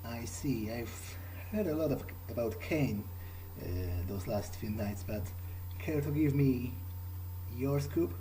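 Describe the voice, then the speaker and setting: A man improvising in character as he plays a game, speaking hesitantly and in a measured way with pauses. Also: medium pitch, dark tone, a little hiss or hum, low-quality recording. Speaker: gamer playing in character. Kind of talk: improvised in-character role-play over a game.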